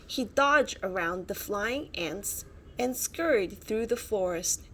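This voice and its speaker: A woman narrating a children's bedtime story as a storybook reader, in an animated style. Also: mid-range voice, slow pace, slight background noise.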